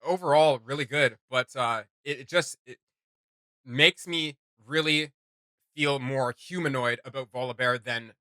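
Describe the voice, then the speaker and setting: A man's voice: mid-range voice, a little slow, sharp tone, smooth, no room sound. A man hosting a reaction video, giving casual, emphatic and opinionated commentary.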